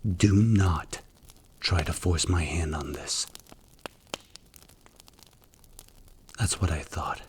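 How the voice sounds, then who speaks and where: A man playing a strict, commanding mafia boss in an ASMR roleplay, speaking in a stern, deliberate, clipped way. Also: sits low, noisy background. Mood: stern, businesslike.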